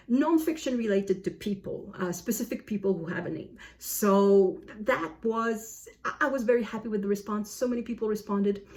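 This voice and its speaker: Chatty woman vlogger, medium pitch. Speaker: book vlogger. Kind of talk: casual chat to camera.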